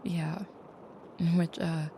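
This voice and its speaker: Actress as tender vampire girlfriend for comfort roleplay audio: lowish, creaky, hushed and hesitant. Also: flat delivery, drawn-out words, background noise, heavily acted. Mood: hesitant, confiding. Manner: soft, hushed, hesitant, often dropping to a near whisper. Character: gentle, caring vampire girlfriend, soft-spoken and hesitant.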